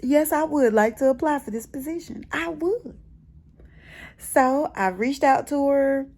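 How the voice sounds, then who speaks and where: American woman vlogging from her bedroom, kinda high voice, animated casual storytelling, repeats herself for emphasis. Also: measured pace. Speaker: personal vlogger. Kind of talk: casual storytelling vlog to camera. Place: bedroom at home.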